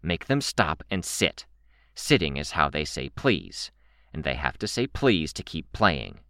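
A man's voice: rumbling low, very animated, a little slow, bright, forceful tone, no echo, studio quality, full character voice. A man voicing a blunt, stern but caring woman dog trainer in an audiobook, terse and commanding. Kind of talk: audiobook character voice.

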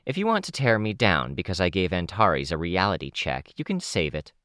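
A man voicing a wry, defensive, sharp-tongued woman in an audiobook, with a dry, blunt manner. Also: deep voice, sing-song, a little fast, dry recording. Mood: defensive, curt.

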